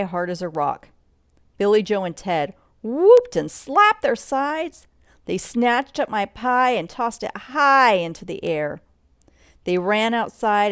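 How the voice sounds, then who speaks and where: A woman reading a children's bedtime story aloud in an expressive read-along style, sometimes commenting as she goes. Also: on the low side, no echo, studio quality. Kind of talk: bedtime story read-along narration with asides about pictures.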